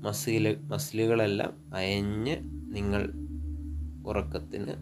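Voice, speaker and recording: Slow, low-voiced Malayali man guiding sleep. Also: flat delivery. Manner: soothing, unhurried, pausing between short phrases. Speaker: sleep meditation guide. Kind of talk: guided sleep hypnosis narration. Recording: relaxing music with singing bowls behind. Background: relaxing meditation music.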